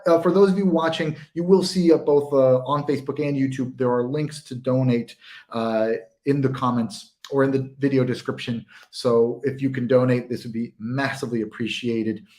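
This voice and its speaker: A man's voice: mid-range voice, darkish tone. An American man in his thirties co-hosting a whisky tasting livestream, chatty and self-mocking, speaking over a video call.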